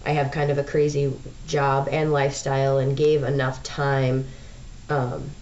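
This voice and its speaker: A woman's voice: low-pitched, darkish tone, distant mic. A young woman with a stuffed-up, nasal voice from a sinus infection, chatting casually in a video diary with lots of ums. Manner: rambling, chatty, frequent ums. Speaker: touring show crew member vlogging. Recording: dull lo-fi audio from away from the mic, faint noise.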